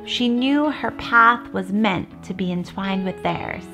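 A woman host narrating a story in a calm, measured voice-over. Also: medium pitch. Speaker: host and interviewer. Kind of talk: scripted voice-over narration and brief interview replies. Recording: faint music beneath.

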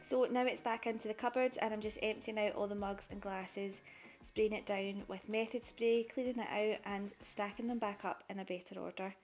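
A woman's voice: medium pitch, fairly even intonation, normal speed, over music. A young woman narrating her cleaning vlog over the footage in a chatty, matter-of-fact way. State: pregnant. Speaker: cleaning vlogger.

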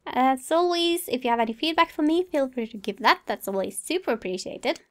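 High-voiced woman narrating her gameplay. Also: expressive, a little fast. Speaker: let's play host. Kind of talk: casual gameplay commentary, reading game dialogue aloud. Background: game music.

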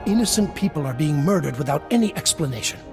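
A man's voice: a little high, expressive, fast talker, music in the background. A man playing a distressed, earnest mayor of a desert town, pleading in a dramatic video game. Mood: anguished, pleading.

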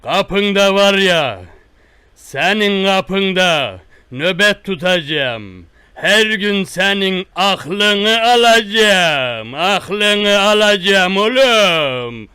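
A man doing a slow, menacing rustic thug. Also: high-pitched, animated, sharp tone, smooth, no room sound, clean studio sound, full character voice. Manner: slow drawn-out threats, repetitive. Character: menacing rustic male tough guy, bullying and boastful. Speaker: prank caller. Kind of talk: one-sided prank call.

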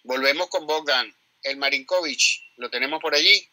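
A man's voice: a little high, normal speed, bright, forceful tone, no room sound, poor audio. Courteous male moderator, dry sound. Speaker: host. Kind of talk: moderating a live audio room.

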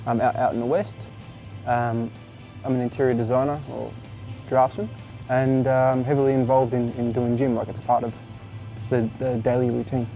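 A man from Victoria talking haltingly in a casual interview. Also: medium pitch, little pitch movement, mellow, faint music behind, rough recording. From Victoria, Australia. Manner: halting, filler-heavy.

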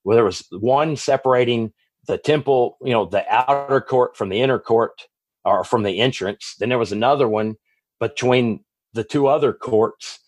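A man's voice: mid-range voice, moderate pace, no echo. A man with a Texas accent, a guest author giving long, rambling answers in a podcast interview, often restarting and correcting himself. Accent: Texan.

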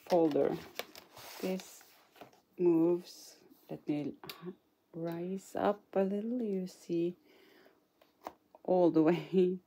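Slow, mellow Norwegian woman showing crafts. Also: fairly low pitch, a little hiss or hum. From Norway. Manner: chatty, hesitant, apologetic, giggles now and then. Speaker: craft channel host. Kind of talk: casual show-and-tell of a scrapbook album. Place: indoors at a craft table.

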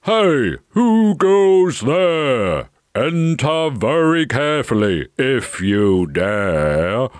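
A man voicing a big, gruff but friendly storybook giant, growling and hearty with drawn-out words. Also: medium pitch, sing-song, no room sound, clean studio sound. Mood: gruff challenge.

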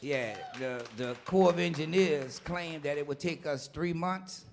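A man's voice: on the high side, measured pace, vocal fry, slight background noise. Plainspoken New singer in his fifties. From New Orleans. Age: 50s. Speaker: vocalist. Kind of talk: onstage interview at a festival.

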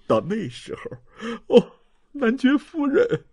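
An excitable, doting old grandfather from a classic novel. His high, husky voice swoops dramatically as he gushes and sighs in slow, drawn-out phrases. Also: no echo, clean studio sound, heavily acted. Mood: lamenting, theatrical. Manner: effusive, dramatic sighs and exclamations.

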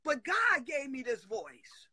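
A woman's voice: high-pitched, sing-song, slow pace, crowd noise. A middle-aged woman hosting a live stream, preaching with emphatic declarations and call-and-response asides. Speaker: host of a live prophetic talk stream. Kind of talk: fiery preaching-style talk. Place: indoor room at home. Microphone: webcam on a live video call.

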